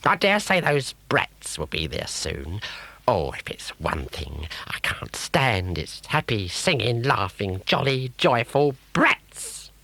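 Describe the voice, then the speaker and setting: Guy doing a nasty villainess, bossy n gloating, drags words out, swoopy pitch, kids story. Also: big pitch swings, vocal fry, pushed and bright, no echo, heavily acted. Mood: disgusted. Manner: drawn-out, gloating, commanding. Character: bossy, sour female child-hating villain.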